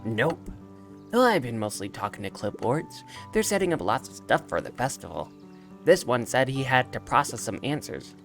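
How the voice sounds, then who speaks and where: A man's voice: medium pitch, very animated, normal speed, soft background music. A man voicing an earnest, literal-minded boy in a storybook game, matter-of-fact and naive.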